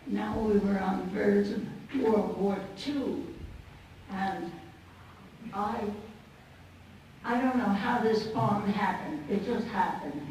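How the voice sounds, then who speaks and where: Slow elderly woman in an echoing hall. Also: mid-range voice, steady tone, darkish tone. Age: early 90s. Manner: unhurried, deliberate short phrases. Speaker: poet and guest speaker. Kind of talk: personal anecdotal speech to an audience. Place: indoor conference banquet room. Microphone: microphone, sounding distant. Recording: very echoey hall, distant, faint noise.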